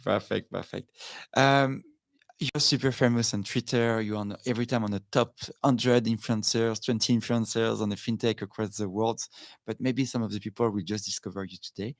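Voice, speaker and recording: A man hosting a podcast interview, friendly and halting, with many fillers. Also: on the low side, fairly even intonation, creaky, no room sound, studio quality. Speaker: podcast host.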